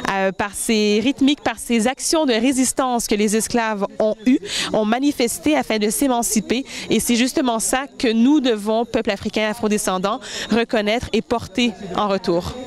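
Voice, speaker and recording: A woman from Montreal, a choreographer speaking earnestly in an interview, measured and with frequent hesitant pauses. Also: fairly high pitch. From Canada (Montreal, Quebec). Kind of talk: interview reflection.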